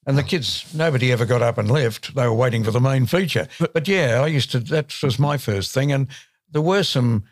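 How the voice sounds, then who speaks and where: A man's voice: mid-range voice, a little fast, dry recording, studio quality. An older man from Australia, co-hosting a podcast. He is playful and wry in casual chat, prompting the others with questions. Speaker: podcast co-host.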